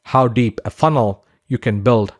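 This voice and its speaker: A man's voice: medium pitch, measured pace. A man narrating a scripted promotional course introduction as its instructor, speaking persuasively and directly to the listener with emphasized lists.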